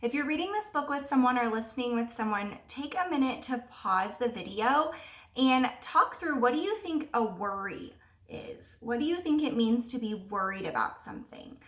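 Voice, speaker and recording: A woman teacher reading a picture book aloud to young children, expressively, pausing to ask them simple questions. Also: a little high, expressive, fairly bright tone. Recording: roomy echo, distant microphone.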